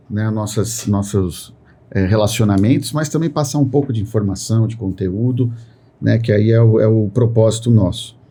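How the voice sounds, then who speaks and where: A man's voice: lowish voice, warm tone, slightly rough. A man hosting a podcast, speaking in long rambling sentences that he keeps tagging with filler questions. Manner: rambling, constant "né" tag fillers. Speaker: podcast host. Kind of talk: podcast conversation.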